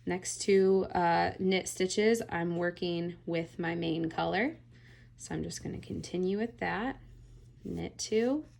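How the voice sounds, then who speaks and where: A woman giving a relaxed, step-by-step knitting tutorial, often checking in with "all right?". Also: mid-range voice, creaky. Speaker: knitting instructor.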